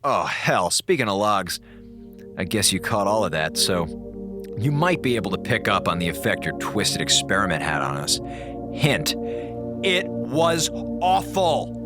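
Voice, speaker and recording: An actor playing an exasperated, wisecracking communications officer on a space station in an audio drama, sarcastic and dramatic. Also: male voice, lowish voice, big pitch swings, measured pace, music in the background. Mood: wry, weary.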